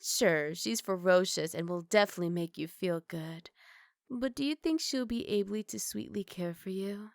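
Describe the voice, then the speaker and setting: A woman's voice: medium pitch, moderate pace, vocal fry, dry recording, studio quality, close to an everyday voice. A woman voicing a spoiled but sweet young wolf girl in an ASMR roleplay, speaking in an earnest, coaxing way. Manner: pleading, earnest, gently coaxing.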